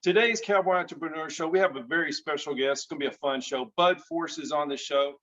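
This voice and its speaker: A man's voice: fairly high pitch, fast talker, a bit bright. A man hosting a casual interview, friendly and enthusiastic, often repeating and restarting his words. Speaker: host. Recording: dull lo-fi sound.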